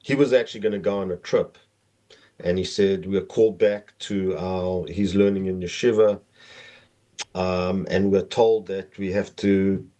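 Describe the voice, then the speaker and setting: A middle-aged man with a South African accent, telling personal stories conversationally as a podcast guest in a halting, meandering way. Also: low voice, fairly even intonation, dark tone.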